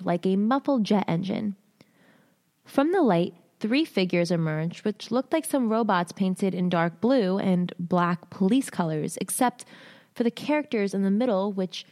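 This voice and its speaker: A woman hosting a show, reading a listener's submitted story aloud in short halting phrases, then chatting candidly with casual slang. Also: medium pitch, darkish tone. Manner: candid, uses swearing and slang. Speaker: host reading listener stories.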